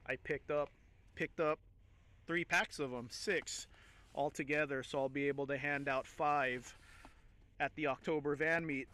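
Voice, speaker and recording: Smooth, higher-voiced man vlogging casually. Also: a bit bright, no room sound. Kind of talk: casual chat to camera.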